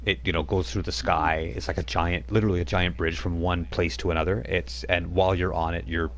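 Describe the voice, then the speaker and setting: A man's voice: deep voice, flat delivery, fairly quick, slight background noise. A man narrating a tabletop game as game master, rambling with many fillers and restarts.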